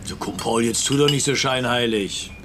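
An actor playing a smug, blustering middle-aged politician in a radio play. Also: male voice, mid-range voice, moderate pace, background noise, close to an everyday voice. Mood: jovial bluster. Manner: self-important, jovial bluster. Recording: clattering cutlery and restaurant ambience. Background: busy restaurant dining sounds.

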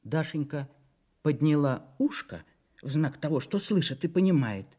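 A man's voice: on the high side, animated, normal speed, warm tone, husky. A man reading a children's story expressively, in a playful, theatrical manner with conversational asides to the listeners.

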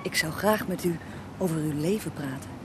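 An actress playing an eager, awed young female journalist with an animated, inquisitive manner in a radio drama. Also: low voice, moderate pace, a little hiss or hum, close to an everyday voice. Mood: curious.

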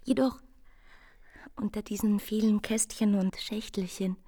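An actress playing a tender, anxious young bride in a literary radio drama, speaking intimately and often in a breathy hush. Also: female voice, medium pitch, fairly even intonation, a little slow, natural voice.

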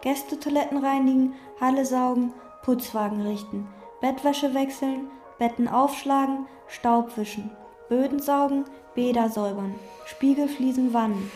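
An actress playing a withdrawn, meticulous chambermaid who speaks precisely and detachedly, in radio drama and inner monologue. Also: female voice, sits high, normal speed, a little mellow, faint music behind, close to an everyday voice. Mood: flat. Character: meticulous, withdrawn woman chambermaid obsessed with cleaning. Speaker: hotel chambermaid.

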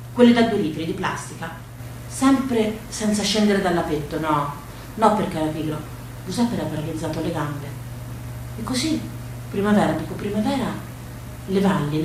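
A woman telling stories as a theatrical monologue from the stage. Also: mid-range voice. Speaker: stage storyteller. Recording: echoey room with faint background noise.

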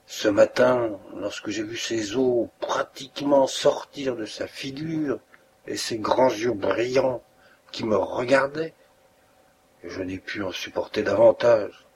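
A man's voice: medium pitch, slow, a little hiss or hum, close to an everyday voice. A man voicing a worried elderly landlady in an audiobook, pleading and urgent.

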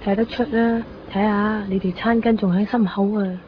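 A woman's voice: medium pitch, fairly even intonation, normal speed, close to an everyday voice. An actress playing an enigmatic, fatalistic teenage schoolgirl in a radio drama, with a Hong Kong accent and a wry, philosophical manner. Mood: wry farewell. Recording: muffled radio recording, faint background noise.

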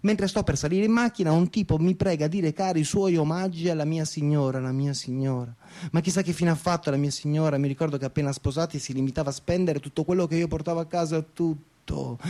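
A man's voice: fairly high pitch, a little mellow, natural voice. An actor playing a cynical, bigoted provincial man in a radio theatre monologue, with a sarcastic, scornful delivery. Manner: sarcastic, scornful, foul-mouthed. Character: cynical, bigoted, sneering provincial Italian man narrating his night. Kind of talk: dramatic first-person monologue.

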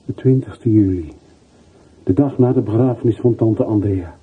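An actor playing a weary, regretful middle-aged former soldier over a telephone in a radio play, subdued, in halting short phrases. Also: male voice, lowish voice, slightly rough. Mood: sombre. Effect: telephone voice filter. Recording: muffled phone-like old radio recording.

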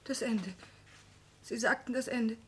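A woman's voice: on the high side, measured pace, breathy edge. A woman playing a long-suffering but loyal wife in a radio drama, earnest and plaintive. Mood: pleading, stubborn. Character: devoted, long-suffering wife defending her bullying husband. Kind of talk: German radio drama acting.

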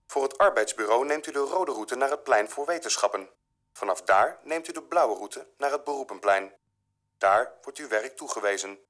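A courteous computer operator from an alien world, acted by a man in a science fiction radio play. The voice is medium in pitch, formal and impassive, giving information literally. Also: expressive, normal speed, a bit bright, close to an everyday voice. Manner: formal, matter-of-fact, impassive. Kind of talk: radio drama acting.

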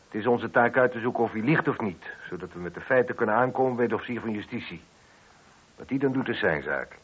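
An actor playing a shrewd, dry and somewhat testy police inspector in a radio detective play. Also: male voice, on the low side, lively intonation, quick pace, slightly rough. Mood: stern, curt. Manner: dry, probing, curt. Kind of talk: radio drama acting. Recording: hissy, dull old radio recording.

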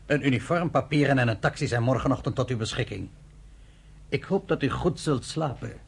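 An actor playing an emotional, proud Cypriot shipowner in a radio play, with a husky, theatrical delivery. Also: male voice, mid-range voice, brisk, slight background noise, lightly acted. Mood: hospitable. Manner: theatrical, indignant, swings between soft and loud.

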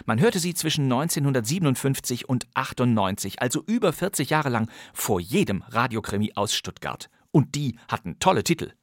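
A man's voice: medium pitch, big pitch swings, fairly quick, few pauses, dry recording, studio quality. A man hosting a podcast, presenting vintage radio crime plays in a playful, theatrical way. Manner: playful, theatrical, relishing old thriller titles. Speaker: podcast host.

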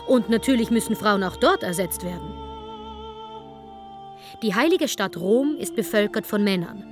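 A woman's voice: mid-range voice, lively intonation, moderate pace. A woman narrating a scripted radio documentary in a wry, sardonic way. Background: music.

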